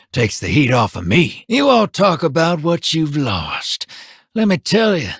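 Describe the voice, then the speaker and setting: A wily, scruffy gambler and rogue in a video game, full of folksy jokes and slang. His voice is husky and bright, pitched a little high, and it rolls slowly with a lively swing. The sound is dry and close. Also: dry recording, exaggerated voice. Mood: sly. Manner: wisecracking, drawling, folksy slang.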